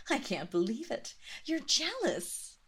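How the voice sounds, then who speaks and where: A woman playing a seductive, commanding vampire mistress in an intimate roleplay audio drama, speaking softly and deliberately with a hypnotic, teasing condescension and breathy half-whispers. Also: high-pitched, huge pitch swings, exaggerated voice. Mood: amused, delighted.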